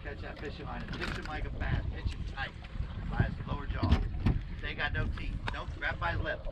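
A man's voice: on the high side, brisk. A man on an open boat coaching an angler with urgent, bossy instructions and some cursing. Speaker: fishing guide. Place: open boat on the water outdoors. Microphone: action camera on the boat. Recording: loud wind and boat noise. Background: wind and water.

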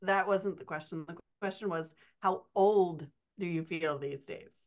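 A woman's voice: fairly low pitch, a little slow. A woman in her forties co-hosting a podcast over a video call, chatting casually with a teasing, playful manner. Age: 40s. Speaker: podcast co-host, writing coach. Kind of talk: casual unscripted podcast banter. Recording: slightly dull video-call audio.

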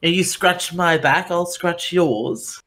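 A man voicing a showy, flattering female satyr performer in a tabletop game. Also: high-pitched, slow pace, voice pushed up, full character voice. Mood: boastful. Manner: smooth-talking, showy, fawning.

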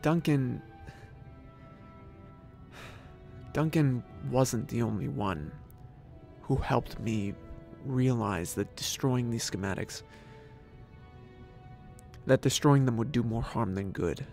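A man acting in an audio drama as an earnest, awkward, soft-hearted inventor who haltingly searches for words. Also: mid-range voice, gravelly. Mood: earnest, nervous. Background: music.

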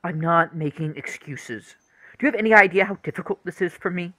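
A man's voice: high-pitched, fairly quick, sharp tone. A man playing a withdrawn, dejected young brother in a radio play, hesitant and defensive. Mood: defensive, hurt.

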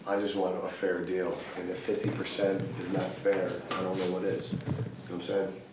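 Brief: young man, very deep monotone voice, blunt and profane, echoey room on a distant camera mic. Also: moderate pace, background noise. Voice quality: very low voice. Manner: blunt, profane, laid-back drawl. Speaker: band member being interviewed. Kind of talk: casual backstage interview. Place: cramped backstage room indoors. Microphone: far camera mic.

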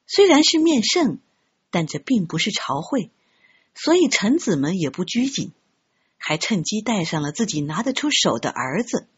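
A woman reading a novel aloud as an audiobook narrator, in an expressive storytelling style. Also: mid-range voice, normal speed, slightly rough. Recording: dull, muffled lo-fi audio.

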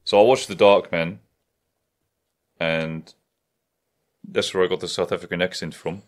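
Bloke, deepish voice, swears a lot, chatty podcast host in a little study. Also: male voice, fairly even intonation, a little slow. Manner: swears freely, rambling storytelling. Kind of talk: casual chat. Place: wood-panelled study, indoors.

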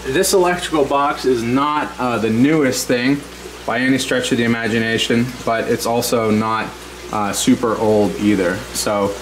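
A man with an everyday, mid-pitched voice gives a casual basement tour for his hobby channel. He talks in a loose, friendly way, full of ums and uhs. Faint noise sits under his voice, picked up by a handheld camera. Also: normal speed, rough recording. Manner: relaxed and chatty, many ums and uhs. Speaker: aquarium hobby channel host. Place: home basement. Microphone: handheld camera, speaker off-screen.

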